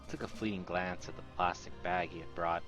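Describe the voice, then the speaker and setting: Deep-voiced man narrating a story. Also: fairly even intonation, fairly quick, music in the background. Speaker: narrator reading translated game text aloud. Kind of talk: scripted narration.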